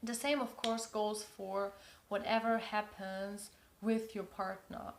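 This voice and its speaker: A woman's voice: mid-range voice, little pitch movement, slow. Earnest German-accented woman, echoey room. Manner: earnest, repeats words for emphasis. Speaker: relationship coach. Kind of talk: advice talk to camera.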